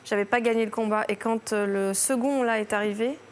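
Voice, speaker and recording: A woman in her thirties, a talk show guest, telling a personal story in halting sentences that restart and trail off. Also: fairly high pitch, fairly even intonation. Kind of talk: emotional personal testimony. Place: television studio.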